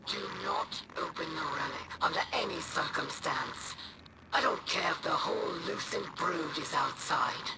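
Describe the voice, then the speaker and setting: An actor plays a haughty video game commander issuing stern warnings. The delivery is slow and imperious, heard on an intercepted transmission with heavy noise around it. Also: medium pitch, animated, lightly acted. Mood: stern, scornful. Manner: imperious, deliberate. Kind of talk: video game character dialogue.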